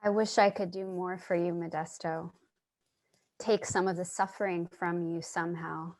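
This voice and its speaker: A woman's voice: lowish voice, steady tone, normal speed, darkish tone, lightly acted. A woman acting a compassionate, earnest writer who listens and narrates in a staged play reading. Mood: gentle, sympathetic. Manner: earnest, sympathetic. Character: compassionate, earnest writer interviewing homeless people, narrating to audience. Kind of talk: dramatic dialogue and monologue in a staged play reading. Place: online video call reading.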